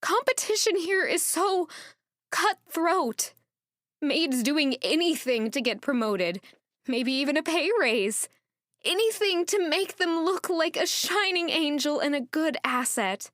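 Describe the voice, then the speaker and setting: Woman acting high-pitched young former maid, anguished, introspective, emotive delivery, fantasy drama monologue, very dry sound. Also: animated, measured pace, fairly bright tone, no room sound. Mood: wry, disillusioned.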